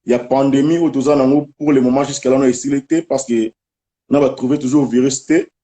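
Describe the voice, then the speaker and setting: Mellow-voiced interview host. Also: male voice, mid-range voice, fairly even intonation, quick pace. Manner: switching between French and Lingala. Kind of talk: casual live interview.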